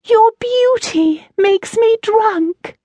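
A woman voicing a schoolboy who mockingly recites love poetry in a squeaky, exaggerated swooning falsetto. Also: drawn-out words, mellow, dry recording, exaggerated voice. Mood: mock lovesick.

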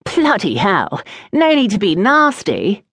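Woman acting teenage schoolboy, brash, loud, gleefully mocking, lively swooping pitch, storybook character. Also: unhurried, no echo. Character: rude, jeering, mocking fifteen-year-old schoolboy.

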